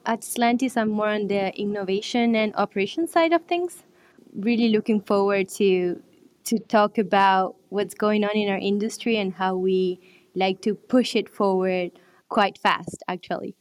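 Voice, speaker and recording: A woman's voice: mid-range voice, no echo. A woman from Uruguay, talking casually as a podcast guest. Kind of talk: casual interview talk.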